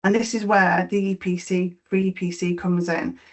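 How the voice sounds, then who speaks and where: A middle-aged woman with a Yorkshire accent walks through a presentation, her voice on the low side for a woman. She keeps to a narrow, even pitch. Her delivery is explanatory, full of "um"s and small restarts. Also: moderate pace. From Yorkshire, England. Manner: explanatory, with frequent fillers and repeated words. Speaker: mortgage lender presenter. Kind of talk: webinar presentation.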